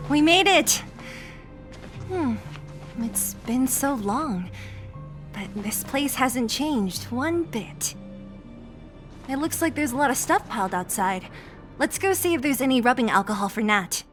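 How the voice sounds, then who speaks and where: Scrappy young female game fighter, high voice, lively swinging intonation, blunt, cocky, casual. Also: slow, pauses often, over music. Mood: wistful, relieved. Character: brash, scrappy young woman fighter from the underground. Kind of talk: video game voice acting.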